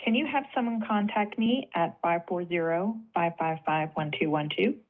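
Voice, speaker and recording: A thin, phone-line recording of a woman caller. Her voice sits a little low as she leaves a scripted test message on voicemail. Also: normal speed. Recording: tinny band-limited telephone voicemail.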